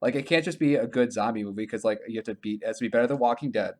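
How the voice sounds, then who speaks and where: A man co-hosting a podcast in casual conversation, informal and thinking out loud as he talks. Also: medium pitch, racing. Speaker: podcast co-host. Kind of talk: casual podcast chat about games and films.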